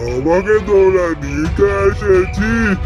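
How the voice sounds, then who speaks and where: Very slow, menacing cartoon demon. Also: male voice, high-pitched, dull audio, over loud music. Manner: slow, drawn-out threatening delivery. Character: menacing magic egg monster, a boastful rakshasa. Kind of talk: Bengali fairy-tale cartoon acting.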